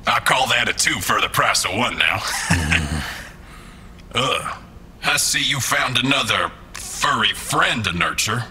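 An actor playing a smug, mocking mastermind employer in a scripted audio drama, with a theatrical, falsely polite, condescending manner. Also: male voice, mid-range voice, animated, takes it slowly, sharp tone, slight background noise, heavily acted. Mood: taunting.

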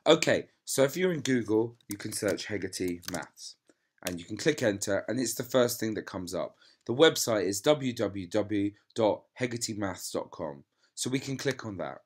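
A man with a slightly low voice, a bit stuffed up from a cold, walks through a website on screen. He explains in an upbeat, plain teacher's way, close to a desk mic. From England. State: has a cold. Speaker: maths teacher. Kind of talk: screen-recorded website walkthrough.

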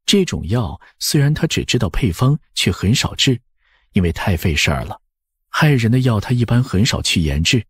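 Low-voiced, husky man narrating a novel. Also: no room sound, clean studio sound. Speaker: audiobook narrator.

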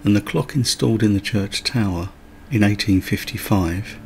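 Gravelly low-voiced man narrating a road trip. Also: moderate pace, soft background music. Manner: light, chatty asides. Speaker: travel vlogger. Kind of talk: scripted travel narration.

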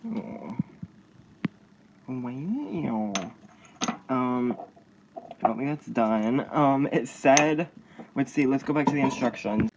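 A man's voice: medium pitch, expressive, slow pace, long pauses, highs cut off. Young man, very noisy kitchen recording. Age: young adult. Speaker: vlogger. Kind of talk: casual cooking vlog chat. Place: home kitchen, indoors. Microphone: phone at arm's length. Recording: clattering dishes and clicks, noisy kitchen.

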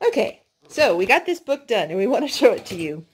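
A woman speaking as a mother leading storytime at home for a small child, in an expressive, sing-song, encouraging way. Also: a little high, a little fast, background noise. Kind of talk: child-directed storytelling and chat. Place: carpeted room and wood-floored room at home.

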